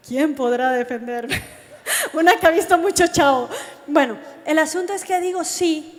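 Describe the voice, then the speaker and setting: A Colombian woman preaches in a high voice, with long reverb ringing around her. She speaks straight to her listeners and tells her testimony like a story. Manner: animated storytelling, addresses listeners directly. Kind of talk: youth sermon with personal testimony. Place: large reverberant meeting hall. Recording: long hall reverb from a sound system.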